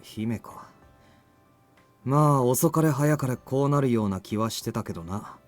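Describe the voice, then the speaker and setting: A man's voice: medium pitch, fairly quick, faint music behind, close to an everyday voice. Laid-back anime teenage boy played by an actor. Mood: offhand. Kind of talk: Japanese anime game voice acting.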